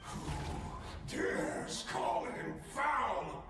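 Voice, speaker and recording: Power-hungry game villain. Halting, strained menace, extremely slow, with some music beneath. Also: male voice, on the high side, huge pitch swings, a bit bright, raised voice, heavily acted. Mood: outraged. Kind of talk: scripted video game voice acting.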